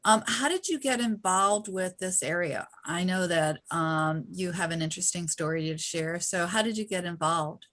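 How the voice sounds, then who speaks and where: A woman's voice: lowish voice, moderate pace, few pauses, a bit bright. A woman hosting a podcast, asking questions enthusiastically with plenty of ums. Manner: enthusiastic, asks questions with fillers. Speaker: podcast host. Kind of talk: casual podcast interview.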